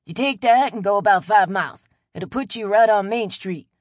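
A woman's voice: medium pitch, moderate pace, no room sound, clean studio sound, lightly acted. A woman voicing a friendly old Cajun man with a folksy Louisiana drawl in an audiobook. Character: friendly old Cajun man, weathered bait-shop regular. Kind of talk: audiobook character voice.

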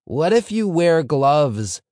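A man's voice: fairly high pitch, very animated, slow, a little mellow, dry recording, clean studio sound, voice pushed up. A man voicing an eager, quibbling teenage yeshiva student in an audiobook story, inquisitive and emphatic. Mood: quibbling, curious. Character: eager, quibbling teenage yeshiva schoolboys answering their rabbi.

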